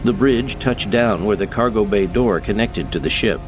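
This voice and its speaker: A man's voice: fairly low pitch, normal speed, soft background music. A man giving scripted, dramatic narration of science fiction as an audiobook narrator.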